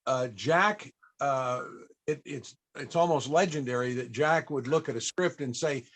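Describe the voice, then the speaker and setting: A man hosting a panel, telling stories conversationally to an audience, with halting, searching pauses. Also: mid-range voice, fairly bright tone. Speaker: panel host and interviewer.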